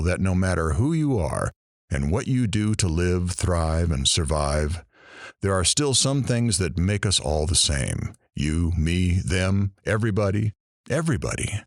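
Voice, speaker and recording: A man playing a sympathetic show host in an audio drama, with husky, earnest, sentimental radio patter. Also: deep voice, expressive, normal speed, no room sound, clean studio sound. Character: sympathetic male show host interviewing a soldier's wife.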